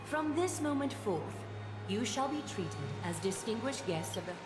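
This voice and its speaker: An actress voicing a poised, regal seer in a video game, speaking in formal, measured and gracious lines. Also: female voice, on the high side, sing-song. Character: poised regal seer lady, host of a holy city. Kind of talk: scripted video game voice acting. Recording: roomy echo under loud game music.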